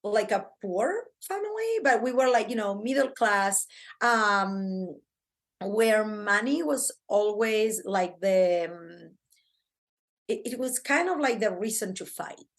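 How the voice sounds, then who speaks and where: A middle-aged woman from Argentina with an Argentine Spanish accent, chatting as a podcast guest in an excitable, talkative way with many fillers. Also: mid-range voice, slow. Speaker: podcast guest, branding coach. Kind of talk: casual interview chat.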